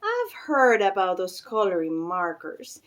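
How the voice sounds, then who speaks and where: A woman voicing a reluctant but easily won-over mother in a storybook, measured and resigned. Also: medium pitch, huge pitch swings, fairly bright tone, pitched below the performer's own voice. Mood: wary.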